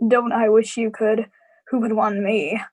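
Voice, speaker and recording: A woman's voice: on the high side, little pitch movement, a little mellow. A woman playing a lonely, sad uncle in a live radio drama, hesitant and evasive. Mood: wistful, self-pitying. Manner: hesitant, halting.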